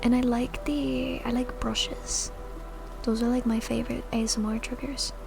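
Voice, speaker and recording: Whispering woman with a gravelly edge. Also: a little high, flat delivery, normal speed, over music. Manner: soft whispering, chatty and giggly. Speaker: VTuber streamer. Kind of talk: whispered ASMR chat with viewers. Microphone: sensitive ASMR mic.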